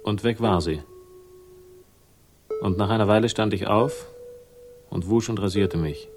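An actor playing a world-weary, sardonic crime narrator in a late-sixties radio drama, speaking in a dry, laconic way. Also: male voice, lowish voice, unhurried, natural voice. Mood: dry, resolute. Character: weary, battered, sardonic male crime-story narrator bent on revenge. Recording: 1960s radio production, music behind.

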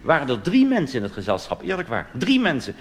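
A man's voice: mid-range voice, huge pitch swings, fast talker. A man from the Netherlands performing a wry, mocking cabaret monologue on stage, now and then slipping into quoted voices. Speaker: cabaret comedian. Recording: live stage recording with audience and hiss. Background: audience laughter.

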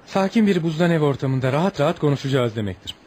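An actor in a Turkish radio play as a courteous, patient American newspaper journalist, speaking in a reassuring manner. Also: male voice, on the high side, lively intonation, quick pace, a little mellow. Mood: courteous, businesslike. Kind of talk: Turkish radio theatre acting. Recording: noisy radio play recording.

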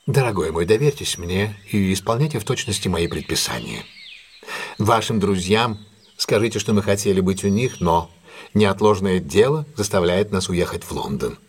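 Husky, commanding detective, swooping delivery. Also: male voice, deep voice, lively intonation, heavily acted. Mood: assured, courteous. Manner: brisk, imperious, theatrical. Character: commanding, shrewd, self-assured male detective plotting a trap. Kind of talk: audio drama acting.

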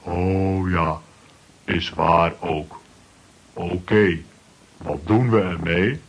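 An actor playing a gruff, blunt strongman who talks in clipped telegram phrases, in a children's comic-strip radio play. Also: sits low, extremely slow, heavily acted. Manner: clipped telegram-style sentences without articles. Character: gruff male strongman of few words, blunt and sure.

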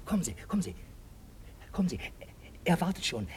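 A man's voice: on the high side, big pitch swings, brisk, darkish tone. An eccentric old male junk dealer in a radio play. He is fussy, cranky and easily agitated, repeating his words as he goes. A little noise sits behind the old recording. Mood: furtive, coaxing. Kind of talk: German radio play acting.

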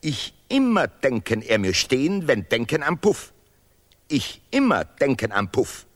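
An actor playing a pompous, sanctimonious male laureate who declaims vehemently in deliberately broken grammar in an absurdist radio play. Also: medium pitch, sing-song.

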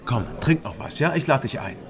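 An actor playing a wry East Berlin private detective in a German radio crime drama. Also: male voice, mid-range voice, expressive, brisk, faint music behind, close to an everyday voice. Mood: calm, low-key.

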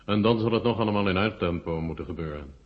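A dull vintage radio recording of a man acting a Soviet marshal. His voice sits low, and he speaks in a formal, deliberate way, stern and guarded. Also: lightly acted. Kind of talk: Dutch radio play acting, 1970.

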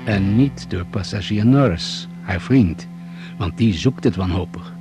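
A man's voice: fairly low pitch, expressive, measured pace, music in the background. An actor playing a dry, authoritative male doctor leading survivors in a radio drama. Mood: matter-of-fact.

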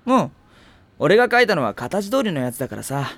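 A man's voice: sits high, very animated, fairly quick, fairly bright tone, no room sound, full character voice. An actor playing a kind, teasing older teenage boy who talks in an easygoing, reassuring way in an anime drama CD.

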